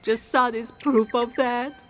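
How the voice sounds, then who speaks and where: A woman's voice: on the high side, steady tone, slow pace, a bit bright, soft background music. A woman playing an anxious, grieving and bitter lover in scripted fantasy game drama.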